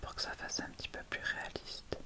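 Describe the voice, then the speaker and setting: A woman whispering an ASMR makeup tutorial, talking through each step in a hesitant, improvised way. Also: fairly quick.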